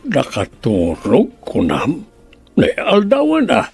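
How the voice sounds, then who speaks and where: A man's voice: on the high side, wildly swooping pitch, slow pace, gravelly, a little mellow, slightly rough, faint music behind, exaggerated voice. A man playing a suspicious, questioning member of a well-off household in an Ilocano radio drama. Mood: suspicious, demanding.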